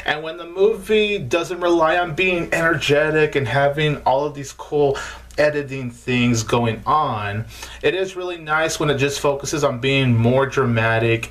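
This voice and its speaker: A man's voice: medium pitch, moderate pace, a bit bright. A man in his twenties reviewing movies casually to camera, leaning on emphatic words, recorded indoors in a small room. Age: 20s.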